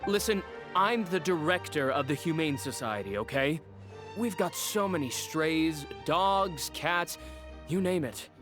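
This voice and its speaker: A man's voice: on the high side, animated, measured pace, over music. An actor playing an evasive, polite male animal shelter director in a video game. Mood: defensive, explaining. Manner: hesitant, explaining, polite. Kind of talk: English game dub dialogue.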